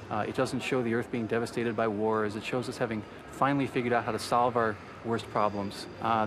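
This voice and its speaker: A man in his thirties giving an earnest, articulate explanation in an interview. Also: mid-range voice. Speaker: convention attendee. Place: large indoor convention arena. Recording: noisy convention hall background.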